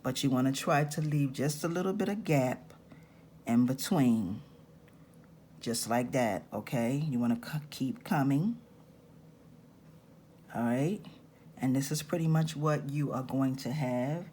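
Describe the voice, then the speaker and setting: Woman, slightly raspy, unhurried step-by-step craft teaching, faint hiss behind. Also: very deep voice, mellow. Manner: slow, patient step-by-step instructions. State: raspy-voiced this morning. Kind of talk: hands-on craft tutorial.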